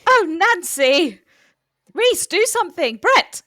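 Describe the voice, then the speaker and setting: A woman's voice: extremely high pitch, big pitch swings, takes it slowly, bright, forceful tone, no room sound, studio quality, heavily acted. A woman playing an anxious, polite, hesitant hospital worker with strange powers in an improvised comedy game. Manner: hesitant, tentative. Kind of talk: improvised comic roleplay.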